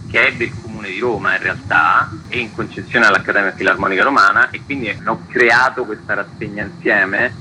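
A man's voice: fairly low pitch, fairly even intonation, fairly quick, hardly any pauses, pushed and bright, a little hiss or hum, poor audio. A man talking enthusiastically and conversationally in a radio interview as an event organiser. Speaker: festival organiser being interviewed.

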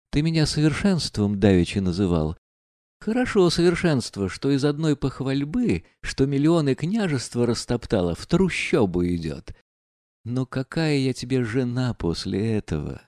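Guy reading as a bitter proud young woman, mocking and reckless, voice swinging up and down, dry mellow sound. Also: medium pitch, dry recording. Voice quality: mocking, defiant.

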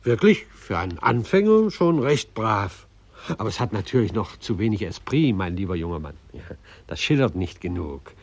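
An actor playing a smug, patronising older gentleman of the arts in a radio play, pompous and theatrical. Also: on the low side, big pitch swings, normal speed, no room sound. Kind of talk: post-war German radio play acting.

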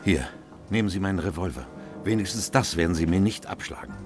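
An actor playing a loyal, worried Victorian gentleman who recounts events in an earnest, measured way for a radio drama. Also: sits low, close to an everyday voice. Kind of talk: radio drama narration and dialogue. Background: music.

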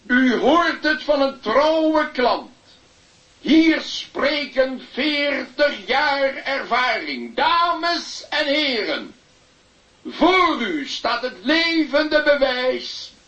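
An actor playing a pompous radio advertising announcer, proclaiming slogans in a drawn-out, declamatory way. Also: male voice, very high voice, very animated, pushed and bright, heavily acted. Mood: grandiose. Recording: roomy, dull taped playback.

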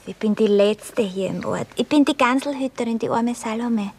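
Casting: guileless young Austrian country girl played by a woman, highish voice, unhurried and admiring, radio play with slight noise. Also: darkish tone, close to an everyday voice. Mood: shy, admiring. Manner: simple, wondering, guileless. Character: naive, kindly, admiring poor young goose girl. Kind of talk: classic Viennese stage comedy radio acting.

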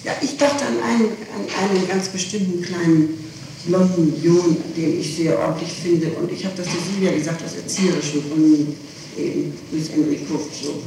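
A middle-aged woman being questioned, answering spontaneously in a halting, repetitive, insistent way on an old 1960s interrogation tape. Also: lowish voice. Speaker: suspect being questioned by police. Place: echoey room, recorded on tape. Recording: noisy, echoey 1960s tape, far from mic.

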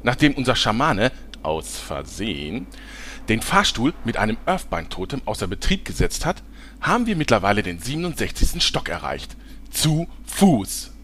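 An actor playing an excitable broadcast commentator, dramatic and announcer-like, in a parody audio play. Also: male voice, lowish voice, normal speed, slight background noise. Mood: dramatic reporting.

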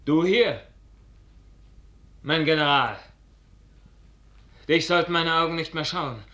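A man's voice: fairly high pitch, takes it slowly, bright, forceful tone. A man acting an impassioned young noble officer, anguished by love, fervently declaiming verse in a 1950s radio drama. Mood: wounded, reproachful. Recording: echoey 1950s radio recording.

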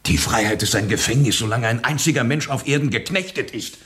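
A man's voice: medium pitch, a little fast, fairly bright tone, slight background noise, lightly acted. A man playing an embittered, uncompromising revolutionary in a radio play, terse and stern. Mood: fervent. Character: embittered, uncompromising male revolutionary back from prison.

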